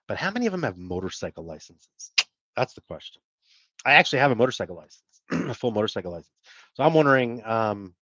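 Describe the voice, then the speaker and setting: A man's voice: fairly low pitch, vocal fry, a bit bright, no room sound, muffled recording. A middle-aged man hosting a livestream, chatting casually and rambling as he answers viewer comments. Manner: rambling, self-deprecating, with fillers and laughs. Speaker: livestream host.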